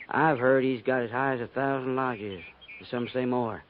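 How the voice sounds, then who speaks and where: An American actor playing a guarded frontier settler in a 1950s western radio drama, talking in a wry, laconic, folksy way. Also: male voice, medium pitch, steady tone, moderate pace, close to an everyday voice. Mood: matter-of-fact. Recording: noisy, tinny 1950s radio recording.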